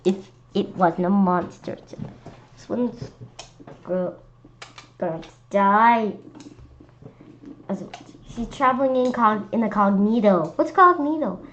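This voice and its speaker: A woman reading a children's story aloud in an expressive, animated way, occasionally stumbling over words. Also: medium pitch, takes it slowly, long pauses. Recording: dull lo-fi sound with clear background noise.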